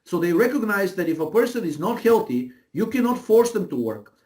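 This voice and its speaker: A man's voice: sits high, big pitch swings, brisk, darkish tone. A man from Bulgaria with a Bulgarian accent explains his views conversationally as an interview guest, emphatically, repeating phrases and checking in as he goes.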